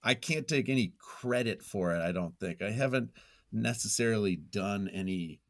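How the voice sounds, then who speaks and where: A man's voice: lowish voice, lively intonation, normal speed. A man talking casually and reflectively as an interview guest, hesitant and self-effacing as he thinks aloud. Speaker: podcast guest, nonfiction writer.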